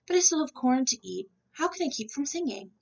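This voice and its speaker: A woman's voice: sits high, big pitch swings, a little fast, creaky, lo-fi sound, pitched above the performer's own voice, heavily acted. A woman voicing a plucky, stubborn and brave little male rooster in a storybook, earnest and defiant. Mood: stubborn resolve. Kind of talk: storybook character voice.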